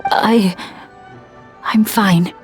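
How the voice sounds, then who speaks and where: A woman voicing a brave, earnest adventurer boy in a video game, halting and full of emotion. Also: medium pitch, steady tone, faint music behind. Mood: tearful.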